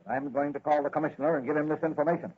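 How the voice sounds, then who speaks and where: An actor playing a gruff, worried newspaper editor, urgent and bossy, in 1940s radio style. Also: male voice, on the high side, steady tone, very fast, noisy background, lightly acted. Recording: dull old radio recording.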